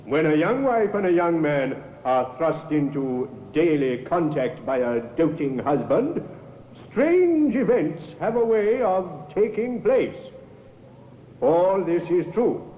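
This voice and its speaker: An actor playing a stern, formal judge with a weighty, caustic manner in an old-time radio drama. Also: male voice, a little high, big pitch swings, slow pace, mellow. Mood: caustic, grave. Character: stern, caustic male judge addressing a jury. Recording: echoey, dull, faintly noisy old radio recording.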